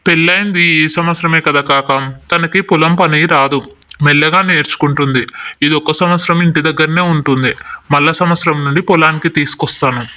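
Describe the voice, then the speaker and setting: A man's voice: a little high, fairly even intonation, a little fast, sharp tone. A man acting an exasperated young village farmer husband who complains in a long-suffering way, in a moral story. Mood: plain, patient. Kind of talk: acted Telugu moral story dialogue.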